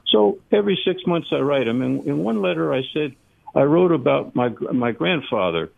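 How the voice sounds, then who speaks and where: A man's voice: fairly high pitch, expressive, creaky. Eighty-year-old man calling in by phone. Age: 80s. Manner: halting, reflective, many fillers. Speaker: caller. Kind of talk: call-in radio chat. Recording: phone line.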